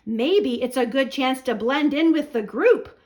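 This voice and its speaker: A woman's voice: high voice, lively intonation, measured pace, darkish tone. A woman voicing a helpful, folksy farm horse, encouraging its friends in a children's storybook. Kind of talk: children's storybook read-aloud.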